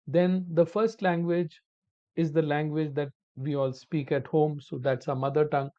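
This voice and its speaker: Male teacher, slow deliberate delivery, slightly raised pitch, dull lo-fi audio. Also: dark tone. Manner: slow, deliberate, addresses viewers as "my dear friends". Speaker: English teacher. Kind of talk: online spoken-English lesson.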